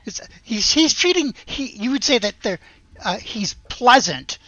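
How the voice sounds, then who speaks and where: A man narrating a tabletop game as game master, halting as he searches for words. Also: very high-pitched, expressive, sharp tone, no room sound, lo-fi sound.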